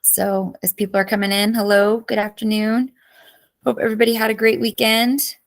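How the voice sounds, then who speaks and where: A woman's voice: on the high side, level tone, brisk, creaky. Woman teacher with a cracking voice. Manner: chatty, greets students by name and reacts to chat. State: voice cracking, losing her voice. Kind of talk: live online class review session.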